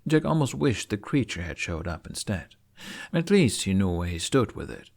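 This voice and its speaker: A man narrating a fantasy story in an expressive, theatrical audiobook style. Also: on the low side, moderate pace, vocal fry. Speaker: fan fiction narrator.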